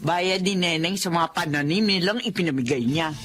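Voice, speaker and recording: A woman playing a kindly, soft-hearted elderly mother-in-law, gentle and hesitant, in a Filipino radio drama. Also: lowish voice, normal speed, loud music behind the voice, lightly acted. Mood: sorrowful, pitying.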